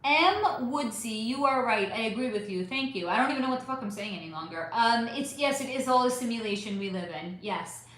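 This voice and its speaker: A woman with a slightly high, somewhat hoarse voice runs a casual live stream, answering her chat in a rambling, emphatic way and swearing now and then. The sound is drenched in strong room echo. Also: lively intonation, a little fast, few pauses, a bit bright. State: voice going hoarse. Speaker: psychic channel host reading live chat. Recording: heavily echoey room.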